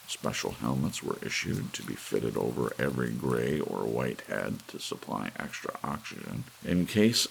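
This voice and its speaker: A man with a gravelly voice reading an audiobook story in a soft ASMR narration style. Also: a bass voice, rough recording. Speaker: audiobook reader. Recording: faint hiss under the voice. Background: soft rain.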